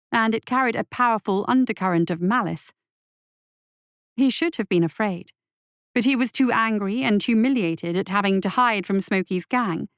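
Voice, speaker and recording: Female story narrator, medium pitch, scripted fiction reading, dry studio-like sound. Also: no echo.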